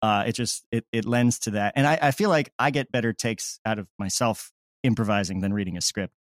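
A man's voice: lowish voice, brisk, dry recording, studio quality. A man chatting casually as an interview guest, explaining creative work in a reflective way.

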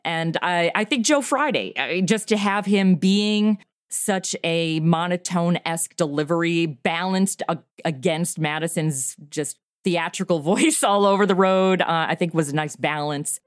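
A woman talking as an interview guest about her podcast, animated and quick to laugh. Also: on the low side, dry recording, clean studio sound. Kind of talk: casual interview.

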